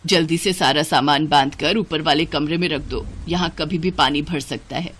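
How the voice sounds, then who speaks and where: Woman playing a cartoon mother-in-law, older, stern, snappish, slightly low. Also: normal speed, fairly bright tone. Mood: worried.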